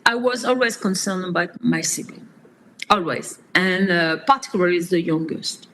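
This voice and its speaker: A woman in her fifties, telling her life story in an interview in slow, halting speech with many pauses and fillers. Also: lowish voice, fairly bright tone, poor audio. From France. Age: 50s. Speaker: interview guest.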